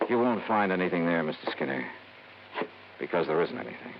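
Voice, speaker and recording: An actor playing a shrewd, hard-boiled insurance investigator in a wry, clipped old radio noir style. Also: male voice, low voice, expressive, fairly quick, background noise. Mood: accusing. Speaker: lead actor and narrator. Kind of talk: 1950s radio noir acting. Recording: dull old radio recording.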